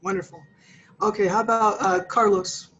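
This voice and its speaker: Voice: medium pitch, fairly even intonation, normal speed, a bit bright. A panel moderator hosting an online artist panel over a video call, keeping things moving with brief, upbeat interjections. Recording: slightly muffled call audio.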